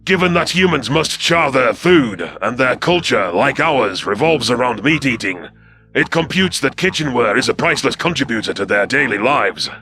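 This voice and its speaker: A man's voice: medium pitch, animated, moderate pace, sharp tone, voice pushed up. A man voicing a dutiful male reptilian alien officer in an audio story, formal, deferential and stiffly literal. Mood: wary, earnest.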